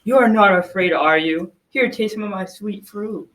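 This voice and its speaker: A woman's voice: medium pitch, normal speed, fairly bright tone, far from the mic, lightly acted. A young adolescent girl playing a sly, coaxing old talking tree in a school radio drama. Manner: coaxing, persuasive.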